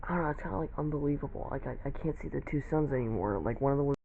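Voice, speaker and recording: A man giving casual eyewitness remarks in a halting, informal way. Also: fairly high pitch. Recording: muffled phone-like audio with faint noise.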